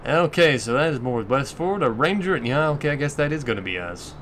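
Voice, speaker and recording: A man's voice: mid-range voice, lively intonation, brisk, a bit bright, slight background noise. A man hosting a let's play with casual, rambling gameplay commentary and some swearing.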